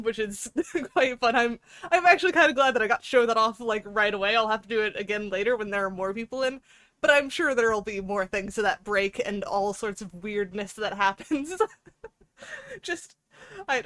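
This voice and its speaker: A woman's voice: fairly high pitch, fairly bright tone, no room sound. A woman hosting a livestream, chatting casually with viewers in a playful, giggly, rambling way. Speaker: livestream host.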